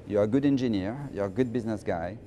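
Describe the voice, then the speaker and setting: A middle-aged man from France with a French accent, answering interview questions in an emphatic, halting way and often repeating phrases. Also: lowish voice, mellow, highs cut off. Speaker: interviewee, finance professional.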